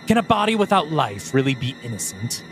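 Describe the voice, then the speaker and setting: A sneering demon lurks in a priest's body, preaching with taunting, theatrical relish, as a man puts him on. His pitch swoops from low menace to sudden highs. A faint musical bed plays behind the storybook performance. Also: very animated, a little fast, creaky, music in the background. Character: mocking male demon possessing a priest.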